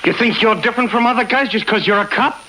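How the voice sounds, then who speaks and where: A man's voice: high-pitched, fast, sharp tone. An American man playing a surly, jumpy gangster henchman in a 1950s radio crime drama, talking in tough, terse slang. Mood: threatening, panicky. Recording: noisy old 1950s radio broadcast.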